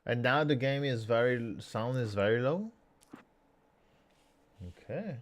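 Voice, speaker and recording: A man talking casually on a live stream, halting and correcting himself as he thinks aloud. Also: medium pitch, animated.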